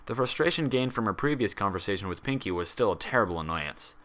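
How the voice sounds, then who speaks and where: Low-voiced man narrating a story. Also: animated, fast talker, a bit bright. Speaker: fan fiction reader.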